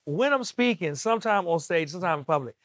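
A man from the Maryland area with a Southern accent, hosting a podcast interview, talking fast and enthusiastically. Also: high voice, animated, fairly bright tone, dry recording, studio quality. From Maryland, DMV area. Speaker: podcast host.

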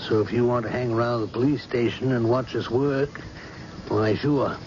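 A man's voice: mid-range voice, fairly even intonation, moderate pace, lightly acted. An actor playing a fatherly, obliging police detective in a vintage radio drama. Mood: kindly, assured. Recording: dull, noisy old radio recording.

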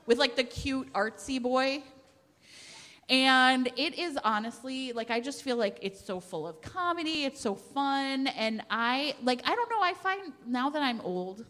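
A woman's voice: sits high, lively intonation, measured pace, a bit bright. A woman chatting casually to a group, self-deprecating and peppering her talk with "like" as she recommends books. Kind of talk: casual chat before a live group. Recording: echoey hall with long reverb.

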